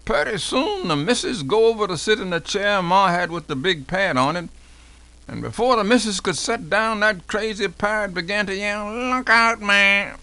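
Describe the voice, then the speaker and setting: A man's voice: high voice, expressive, moderate pace, sharp tone, exaggerated voice. A man playing an elderly formerly enslaved American man recalling his childhood in a folksy, dialect-heavy dramatic reenactment. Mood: animated storytelling. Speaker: professor doing a dramatic reading. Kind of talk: dramatic first-person oral history reenactment.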